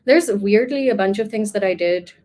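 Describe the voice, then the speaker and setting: Woman from Pakistan, art teacher guest; thoughtful, rambling interview talk at an ordinary pitch. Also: normal speed, a little mellow. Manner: reflective, talkative, many "like" fillers. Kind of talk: casual interview answers.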